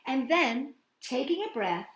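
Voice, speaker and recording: A woman hosting a children's storytime, reading and singing in a playful, expressive way with drawn-out words and chatty asides. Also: sits high, reverberant. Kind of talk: animated picture-book read-aloud and children's singing.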